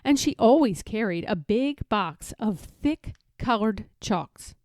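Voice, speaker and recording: A woman narrating a children's storybook aloud in a measured, expressive manner. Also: medium pitch, no echo, clean studio sound. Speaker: storybook reader.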